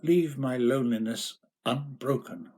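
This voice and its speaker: A man's voice: mid-range voice, a little slow, darkish tone, close to an everyday voice. A man with an English accent playing a grief-stricken, tormented narrator in an anguished, theatrical recitation of a Gothic poem. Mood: furious, desperate. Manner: anguished, impassioned, declamatory. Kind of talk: dramatic theatrical poetry recitation.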